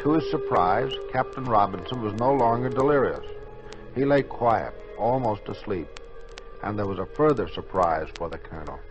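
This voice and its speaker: A man's voice: fairly low pitch, lively intonation, normal speed, lightly acted. A man narrating a scripted 1950s radio drama as its storyteller. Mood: solemn storytelling. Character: storyteller narrator of a military tale. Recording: muffled 1950s radio recording, music behind.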